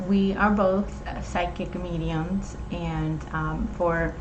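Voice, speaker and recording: A young woman from Puerto Rico, with a Puerto Rican accent and Spanish as her first language, explaining things in a measured way. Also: on the low side, steady tone, dull audio, background noise. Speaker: host. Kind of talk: casual chat.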